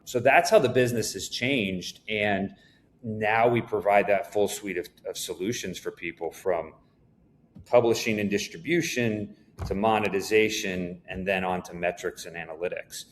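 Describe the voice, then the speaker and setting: A man speaking as an executive guest in a conversational business interview, explaining things in a measured way. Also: lowish voice, slight background noise.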